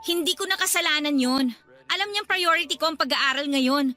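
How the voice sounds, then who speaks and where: A woman's voice: sits high, brisk, sharp tone, faint music behind. A woman playing a stressed, irritable young medical student and older sister in a radio drama, complaining emphatically. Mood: frustrated, complaining. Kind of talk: radio drama dialogue in Filipino.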